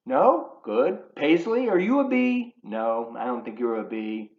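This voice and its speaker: A man's voice: mid-range voice, a little slow. A man reading a picture book aloud to young children as a volunteer, playfully addressing them directly in his living room. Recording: roomy, slightly dull home video sound.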